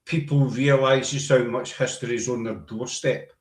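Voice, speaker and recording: A man with a Glaswegian Scottish accent hosting a casual live-stream interview, chatty and relaxed with stumbling restarts. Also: mid-range voice, moderate pace. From Glasgow, Scotland. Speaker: host. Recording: roomy echo.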